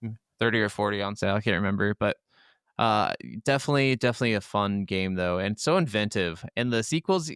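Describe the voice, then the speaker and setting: A man's voice: on the low side, expressive, fast, a bit bright, smooth, no room sound, clean studio sound. A man co-hosting a gaming podcast, talking casually with emphatic, opinionated, profane delivery. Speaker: podcast co-host.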